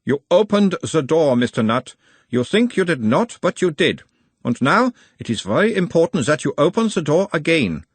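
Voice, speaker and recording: A man reading an audiobook does a calm male hypnotist with a mild Uberwaldian accent, pitched up above his usual voice. The questioner is soothing yet insistent, and the husky tone stays close and dry. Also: normal speed, dry recording.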